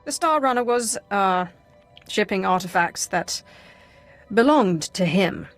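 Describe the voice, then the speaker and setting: An actress playing a commanding, curt female dark sorcerer lord in a video game. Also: medium pitch, lively intonation, unhurried, soft background music, lightly acted. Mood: cool, commanding. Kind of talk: game voice acting.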